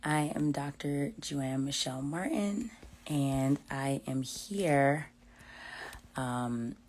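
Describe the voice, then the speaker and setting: Hoarse, low-voiced woman host. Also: slow. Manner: warm, effusive. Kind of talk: casual live stream chat. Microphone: video call.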